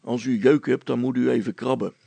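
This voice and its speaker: A man's voice: medium pitch, steady tone, brisk, gravelly, dark tone, husky, dry recording. A man voicing an exasperated, wisecracking tinkerer in a children's story, grumbling in a dry, teasing manner.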